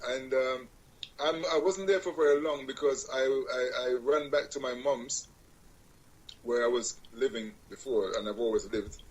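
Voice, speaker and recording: A husky-voiced man, a guest chatting on a radio show. He talks at an easy middle pitch, circling back and correcting himself as he tells his story, with wry little jokes along the way. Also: low-quality recording. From Jamaica. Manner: rambling, self-correcting, laughs at own jokes. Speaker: guest musician. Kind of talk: casual interview conversation.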